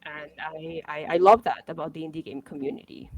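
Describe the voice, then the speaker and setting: A young woman streamer joins a casual livestream chat in a fairly low-pitched voice. She talks with eager energy. She rambles through her points with plenty of fillers. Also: animated, a little fast, gravelly, darkish tone, rough recording. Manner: enthusiastic, rambling with many fillers. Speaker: streamer guest.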